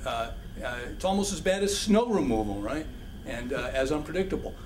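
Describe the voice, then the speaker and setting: A man answering audience questions at a public policy forum, halting and full of restarts. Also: medium pitch, expressive, darkish tone. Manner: halting, many restarts and fillers. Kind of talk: public Q&A talk. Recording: echoey hall with background noise.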